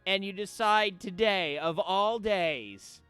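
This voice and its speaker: Higher-voiced man telling stories casually. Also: takes it slowly, pushed and bright, clean tone, no room sound, faint music behind. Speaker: game streamer. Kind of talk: casual chat, storytelling.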